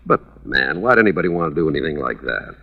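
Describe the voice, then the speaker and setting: An actor playing a worried, folksy Texas insurance manager in a 1950s radio drama, with drawling, colloquial talk. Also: male voice, extremely low pitch, very animated, quick pace, vocal fry, exaggerated voice. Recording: dull, lo-fi old radio recording.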